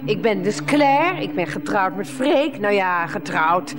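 A woman's voice: mid-range voice, lively intonation, measured pace, lightly acted. A woman playing a long-suffering middle-aged breadwinner wife in a radio play, speaking with dry, wordy sarcasm. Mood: sardonic, aggrieved.

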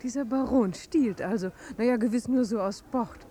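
An actress as a high-voiced young society lady. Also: moderate pace, warm tone, close to an everyday voice. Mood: curious, cool. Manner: playful, polite, chatty. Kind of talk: 1950s German radio drama acting.

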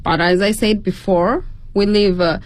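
A woman with a Tanzanian accent explaining things conversationally as a radio interview guest, pausing between phrases. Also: mid-range voice, steady tone, dry recording. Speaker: interview guest, PhD student.

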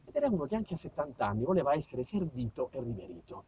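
A man's voice: medium pitch, very animated, fast talker, mellow, slight background noise. A man with a southern Italian accent performing a colloquial, theatrical autobiographical monologue with dramatic shifts of delivery. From southern Italy. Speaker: actor and storyteller. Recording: dull lo-fi stage recording, far from mic.

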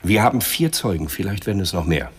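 A police inspector in a radio crime play. The voice is low and husky, gruff and condescending, always carrying a threat. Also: male voice, animated, fast talker. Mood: smug, pressing. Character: gruff, menacing male police inspector, smugly hostile. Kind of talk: radio crime drama dialogue.

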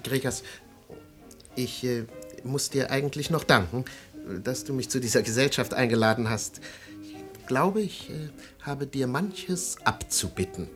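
An actor in a radio play playing a self-pitying, melancholy man who speaks haltingly, with pathos. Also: mid-range voice, faint music behind, lightly acted. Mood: embarrassed. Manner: halting, sighing, pathos-laden. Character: self-pitying, melancholy son of a disgraced father. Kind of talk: German radio play acting.

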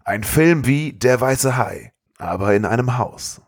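A man's voice: medium pitch, lively intonation, unhurried. A man hosting a podcast, retelling a story in a casual comedic style. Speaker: podcast host.

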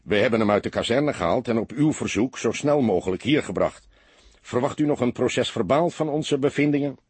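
A man's voice: mid-range voice, lively intonation, brisk, a little mellow, slightly rough, no echo. A man voicing a dutiful military police officer reporting formally to a detective.

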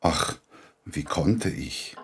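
An actor in a German radio play, playing a sardonic, self-deprecating man with a husky voice and an ironic manner. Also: a bass voice, expressive, very slow, full character voice.

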